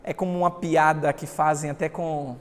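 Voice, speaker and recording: A man preaches a sermon in a high, emphatic voice. He swells louder on the passages he reads. A long reverb hangs behind him. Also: level tone, moderate pace, slight background noise. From Salvador, Bahia, Brazil. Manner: emphatic preaching, swelling loud on scripture readings. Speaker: pastor.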